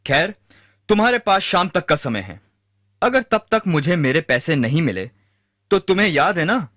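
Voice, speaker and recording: A man's voice: on the high side, very animated, moderate pace, a bit bright, no room sound, studio quality. A man playing a greedy, ruthless moneylender in a moral-story cartoon, stern and threatening. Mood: menacing. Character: greedy, ruthless male moneylender, cold and menacing. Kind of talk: animated moral-story dubbing.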